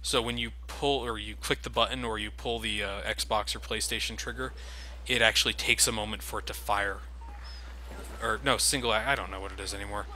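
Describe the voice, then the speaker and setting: A man's voice: fairly low pitch, steady tone, fairly quick, sharp tone, a little hiss or hum. A young man casually commenting over game cutscenes, joking and critical of the game as the host. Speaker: let's play host.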